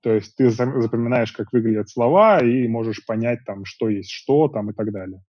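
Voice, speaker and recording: A man in his thirties, an interview guest, chatting casually in long, relaxed stretches from a small room at home over earbuds on a video call. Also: medium pitch, moderate pace, dry recording. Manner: relaxed, talks in long rambling stretches with fillers. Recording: dull dry video call audio.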